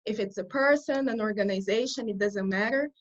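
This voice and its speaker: A Brazilian woman whose first language is Portuguese answers interview questions in accented English. Her pitch sits in the middle of the usual range, and she speaks earnestly, often restarting a phrase as she searches for words. The sound is dull and lo-fi, like a video call. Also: fairly quick. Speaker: guest. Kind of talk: conversational interview.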